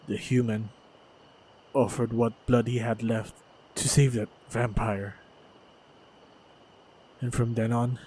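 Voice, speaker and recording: Male actor as loyal fantasy protector: calm and gentle, very even delivery, slow and deliberate, for intimate audio roleplay. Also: medium pitch, a little hiss or hum, lightly acted, poor audio.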